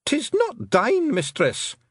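A man's voice: huge pitch swings, moderate pace, fairly bright tone, dry recording, clean studio sound, exaggerated voice. A man voicing a sly, frantic little male pixie chieftain who shrieks and gabbles in a Scottish accent. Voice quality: shrill, gabbling.

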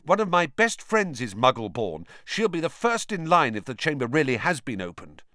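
A man's voice: on the high side, very animated, normal speed, sharp tone, no echo, clean studio sound, pitched above the performer's own voice. A man voicing a brave, stubborn schoolboy wizard who asks firm, urgent questions.

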